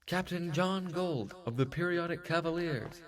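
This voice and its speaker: A man's voice: fairly high pitch, unhurried, over music, pitched above the performer's own voice, lightly acted. A man playing a dashing, cocky space captain with jaunty old-fashioned bravado in a retro sci-fi audio drama.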